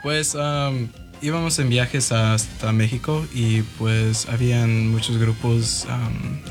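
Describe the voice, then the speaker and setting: A young man from Wisconsin, a band member, answering hesitantly and softly. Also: mid-range voice, little pitch movement, slow, music in the background. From Madison, Wisconsin. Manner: hesitant, soft-spoken.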